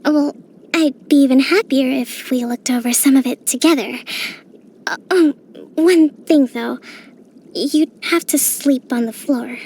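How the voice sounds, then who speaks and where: An actress voicing a young female island priestess and military strategist in a game, speaking in a gentle, composed, softly playful way. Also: high voice, a little slow. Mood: reflective. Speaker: game character. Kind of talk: game voice lines.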